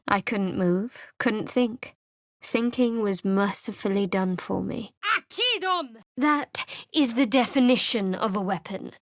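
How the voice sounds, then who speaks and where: A woman's voice: on the high side, no room sound. A woman playing a guarded, sardonic young female cleric in a fantasy video game, speaking in a cool, dry, clipped way. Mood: forceful, urgent.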